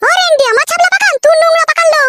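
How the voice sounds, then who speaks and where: A woman playing a brash, combative young second wife in a comic village cartoon, shrill and taunting, with a pitched-up voice. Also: very fast, pushed and bright. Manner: shrill, taunting, mocking retorts.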